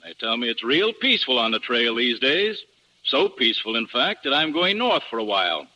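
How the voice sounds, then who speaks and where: An actor playing a confident, scheming cavalry colonel in a radio Western, brisk and commanding. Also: male voice, mid-range voice, sing-song, sharp tone, dull audio, exaggerated voice. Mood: hearty. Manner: brisk, authoritative. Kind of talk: 1950s radio drama acting.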